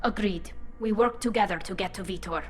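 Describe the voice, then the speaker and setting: A woman voicing a composed, firm alien team leader in a video game, speaking in a measured, authoritative way. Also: mid-range voice, faint music behind, natural voice. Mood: firm, commanding.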